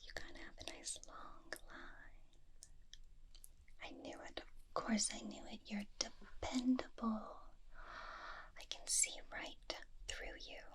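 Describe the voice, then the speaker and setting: A flirty, free-spirited witch performed by a woman whispers slowly and intimately, right up at a binaural mic. Her murmur stays soft and even, playful and adoring, in the style of a personal-attention ASMR roleplay. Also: on the high side. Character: smitten, spontaneous, flirty witch woman reading palms. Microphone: close binaural microphone.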